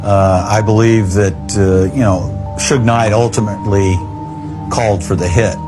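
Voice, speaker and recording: A man interviewed as a former investigator, speaking in a hesitant, halting way. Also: fairly low pitch, steady tone, music in the background.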